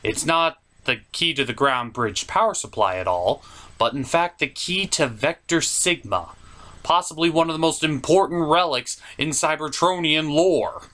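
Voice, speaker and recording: A man hosting a puppet review show, delivering animated recap commentary in a theatrical, emphatic showman style with drawn-out words. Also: on the high side.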